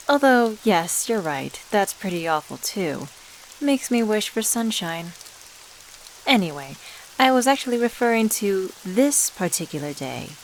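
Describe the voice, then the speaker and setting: A woman playing an enigmatic, suave stranger in a scripted ASMR roleplay, speaking to the listener in a measured, teasing, condescending and knowing way. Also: lowish voice, slight background noise, rough recording. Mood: coy, persuasive. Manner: measured, teasing, rhetorical questions, condescending endearments.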